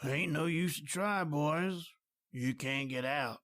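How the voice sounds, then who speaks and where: A man's voice: a little high, slow pace, dry recording, clean studio sound. A man playing a gloating, pitiless old man with a rural American drawl in a radio horror play. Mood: smug, taunting. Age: older. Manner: folksy, drawling, calmly taunting.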